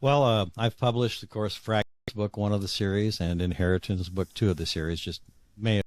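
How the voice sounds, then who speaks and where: A man's voice: fairly low pitch, fairly even intonation, brisk. A man answering interview questions as an author, conversational and hesitant, often rephrasing as he goes.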